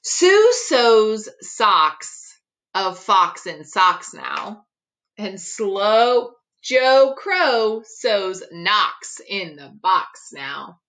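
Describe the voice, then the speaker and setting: A middle-aged woman reading a children's picture book aloud expressively, articulating tongue twisters with deliberate care. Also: mid-range voice, big pitch swings, fairly bright tone. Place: living room at home.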